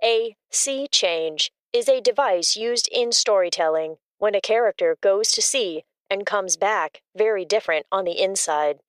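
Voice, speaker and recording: Precise deadpan woman in dry studio. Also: mid-range voice, a little slow, few pauses, smooth, dry recording. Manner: precise, deadpan, robotic formality. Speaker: co-host giving factual asides. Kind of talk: scripted informational narration.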